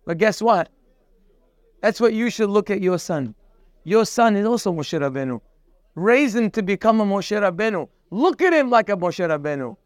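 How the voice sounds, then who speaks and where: High-voiced man giving an emphatic Torah class. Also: very animated, normal speed, dry recording, studio quality. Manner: emphatic, preachy, quotes Hebrew sources then translates; addresses listener directly, repeating "you". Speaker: Torah teacher.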